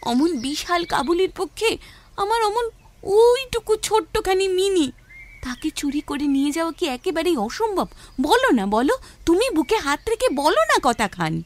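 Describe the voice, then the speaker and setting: A protective, suspicious wife in a radio play. Her high voice swings up and down as she nags and presses insistently with anxious questions. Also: sing-song, moderate pace. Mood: worried, insistent. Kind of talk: audio story acting.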